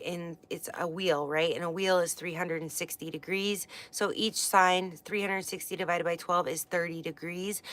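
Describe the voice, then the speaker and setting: A woman's voice: fairly low pitch, a bit bright. A woman from the west coast of the United States, teaching astrology in a casual livestream, chatty and rambling with many fillers and restarts. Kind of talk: casual livestream book study.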